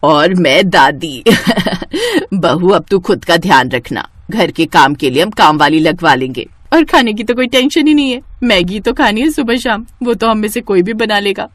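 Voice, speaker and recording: A woman plays an older mother-in-law in a cartoon family tale. She is motherly, fussing and excitable, with lively intonation in a dry recording. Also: medium pitch, moderate pace, dry recording, lightly acted. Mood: delighted.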